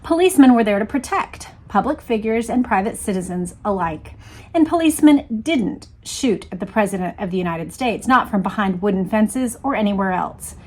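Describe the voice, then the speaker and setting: A woman host talking through material and reading aloud in a deliberate, emphatic, explanatory way. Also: medium pitch, lively intonation. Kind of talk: explanatory talk and dramatic read-aloud.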